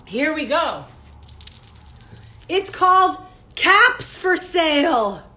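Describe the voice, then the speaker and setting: A woman's voice: sits high, very animated, extremely slow, very noisy. A woman hosting a children's story hour, chatty and self-deprecating, her voice a little groggy from lack of sleep. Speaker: children's entertainer. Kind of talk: live-streamed story hour chat.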